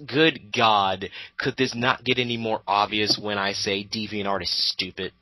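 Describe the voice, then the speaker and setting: Sharp, exasperated man ranting sarcastically. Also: on the low side, steady tone, a little slow, no room sound, low-quality recording. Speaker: co-commentator. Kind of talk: ranting, mocking commentary.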